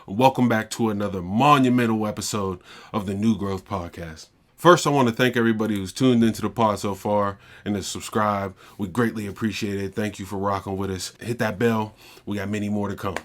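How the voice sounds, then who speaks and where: A man's voice: on the low side, fairly even intonation, a little fast. A young man from Pittsburgh hosting a casual podcast interview, upbeat and slangy with hype greetings. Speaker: podcast host.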